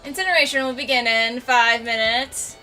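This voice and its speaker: A woman's voice: a little high, normal speed, sharp tone, faint music behind, voice pushed up, rough recording. A woman voicing an announcement system that gives countdown warnings in a story. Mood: flat warning.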